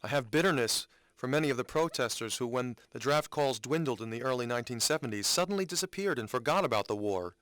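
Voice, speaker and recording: Male reader as a solemn, earnest man; smooth mid-range voice, dry close recording, deliberate dramatic reading. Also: mid-range voice, expressive, fairly bright tone, no echo, natural voice. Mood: bitter, earnest. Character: earnest man honoring veterans, solemn and aggrieved. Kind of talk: dramatic reading of written commentary.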